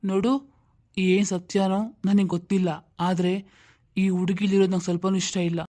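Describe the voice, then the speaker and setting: Woman as a stern, dark-toned stubborn elder brother. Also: mid-range voice, level tone, moderate pace, close to an everyday voice. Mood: scornful. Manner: curt, dismissive, confrontational. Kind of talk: dramatic cartoon story dialogue.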